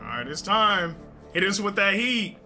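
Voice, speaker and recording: High bright hyped young man. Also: sing-song, normal speed, soft background music. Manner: hyped, yelling and laughing, slang-heavy. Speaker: gaming video host. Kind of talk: excited gameplay reaction. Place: small home gaming room, indoors. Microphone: headset.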